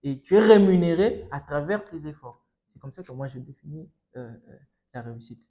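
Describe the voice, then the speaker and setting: A young student guest speaks with a Congolese French accent in a fairly high, mellow male voice. He talks casually but emphatically, often stalling and repeating words as he makes his point. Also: expressive, dull audio. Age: young adult. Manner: halting, repetitive, emphatic. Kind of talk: casual discussion.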